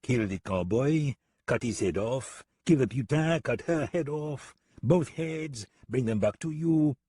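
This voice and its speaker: A man performs a French gunman from a western audiobook. He has a French accent and a mocking, swaggering, unhurried delivery. The voice rides higher than plain narration and sounds dry. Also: animated, mellow, breathy edge, no echo. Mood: sly, boastful. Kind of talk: western audiobook character acting.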